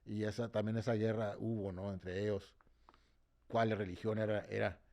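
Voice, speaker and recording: A man's voice: on the low side, little pitch movement, moderate pace, creaky, a little mellow. A man speaking passionately in an interview, often repeating words as he searches for the next phrase and checking in with the listener. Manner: switches between English and Spanish. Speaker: interviewee, march organizer. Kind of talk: impassioned interview talk.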